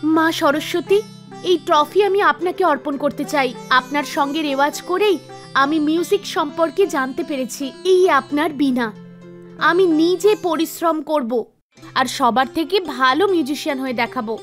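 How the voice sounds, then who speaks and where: A woman's voice: high-pitched, unhurried, fairly bright tone. A woman voicing a devout young girl music student in a cartoon, with an earnest, pleading delivery. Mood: grateful, devout. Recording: music behind the voice.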